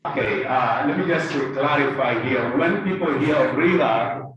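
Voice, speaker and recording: A man's voice: medium pitch, a little slow, fairly bright tone, dull audio. A man moderating and asking clarifying questions informally. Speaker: host and moderator. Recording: echoey room, distant microphone.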